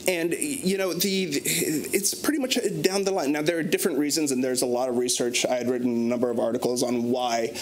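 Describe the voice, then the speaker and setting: A man's voice: medium pitch, flat delivery, fairly quick, few pauses, low-quality recording. A man in his thirties speaking as a panelist at a conference. He talks thoughtfully with many restarts and fillers, recorded on a lapel mic in a conference room. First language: English. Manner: thoughtful, gestures a lot, frequent restarts and fillers. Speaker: panelist speaking on a research paper. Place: conference room in front of a banner.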